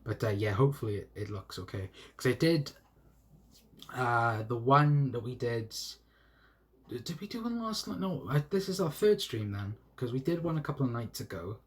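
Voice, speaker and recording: Rambling young South Welsh man, husky. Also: mid-range voice, expressive. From South Wales. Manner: rambling, halting with restarts and laughs. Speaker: football fan live streamer. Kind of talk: casual live stream chat reading comments. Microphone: phone mic.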